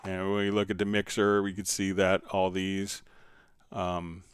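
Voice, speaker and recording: A man hosting a casual live software tutorial, explaining step by step in a conversational way as he answers viewers. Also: sits low, completely flat delivery, a little slow, clean tone. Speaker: livestream host and software instructor.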